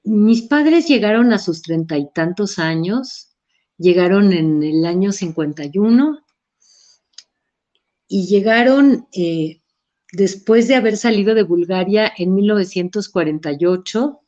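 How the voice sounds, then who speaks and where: Slow, dark-voiced Mexican woman. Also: mid-range voice, lively intonation. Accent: Mexican Spanish. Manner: unhurried, thoughtful, frequent restarts and hesitations. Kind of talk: personal reflective talk. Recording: slightly muffled lo-fi recording.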